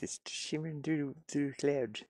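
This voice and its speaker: A man giving casual, halting live commentary as he works on game art, muttering and thinking aloud. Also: on the high side, darkish tone, no echo, studio quality. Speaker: streamer and hobby game designer.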